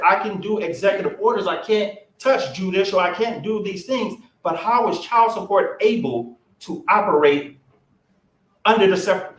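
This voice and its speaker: A man at a roundtable pressing a politician with rapid, pointed questions, recorded from a distance in a played clip. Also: sits high, fairly bright tone, roomy sound.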